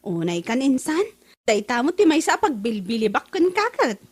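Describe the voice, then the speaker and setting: A naggy worried mom in an ilocano radio comedy, really high voice, swoops all over. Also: female voice, big pitch swings, normal speed, slightly rough. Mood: dismayed. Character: fretful, scolding mother of a wayward son.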